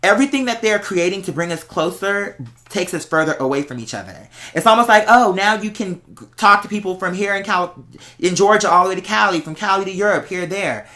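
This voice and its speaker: A vlog host chatting casually and candidly to viewers, telling stories in an animated, slangy way. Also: medium pitch, a little fast. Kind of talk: casual confessional chat to viewers.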